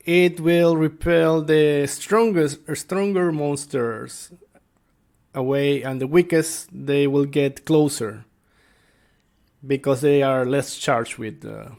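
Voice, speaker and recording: Slow, halting man with a creaky voice. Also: mid-range voice, darkish tone. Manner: halting, hesitant, searching for words. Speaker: tabletop game master and video creator. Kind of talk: informal explainer talk to viewers.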